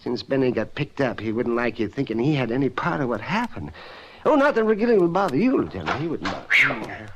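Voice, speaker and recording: An actor playing a rambling, ingratiating barfly informant with nervous chuckles, in old-time radio drama style. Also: male voice, mid-range voice, big pitch swings, quick pace, a bit bright, a little hiss or hum. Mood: sly, deferential. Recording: muffled old radio recording.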